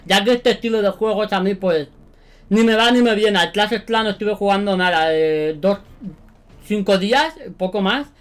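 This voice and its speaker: A young man in his twenties with a Spanish accent from Spain, giving excited, slangy gameplay commentary in a bedroom studio. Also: high voice, moderate pace. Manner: animated, slangy, repeats himself. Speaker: gaming YouTuber.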